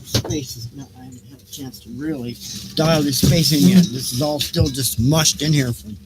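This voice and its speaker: A man chatting casually and haltingly, half to himself, as he fiddles with equipment for a live stream. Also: medium pitch, over loud music. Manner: muttering to himself, distracted, halting. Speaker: audiobook reader and live streamer. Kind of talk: casual rambling tech chat while setting up a live stream. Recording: noisy live home-studio recording with clicks.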